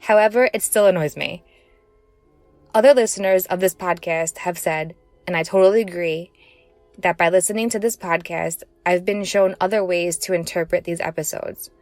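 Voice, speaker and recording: Female podcast listener, lowish pitch, fairly dry sound with faint music underneath, reading prepared thoughts. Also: normal speed, darkish tone, no echo. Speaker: podcast listener giving a recorded contribution. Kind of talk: prepared personal commentary.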